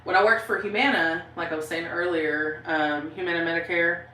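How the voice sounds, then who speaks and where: A woman's voice: on the low side, fairly even intonation, brisk, pushed and bright, reverberant, slight background noise, low-quality recording. A woman with a Southern Kentucky accent chatting casually on a livestream, rambling with lots of fillers and laughing often. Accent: Southern American (Kentucky). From southeastern Kentucky, USA. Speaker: paranormal channel host reading live chat.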